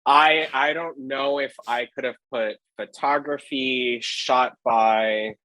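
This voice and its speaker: A man's voice: medium pitch, fairly even intonation, slow, pushed and bright, dry recording, rough recording. A man from Hawaii, a street photographer, chatting as a podcast guest, rambling with lots of fillers. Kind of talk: casual podcast chat.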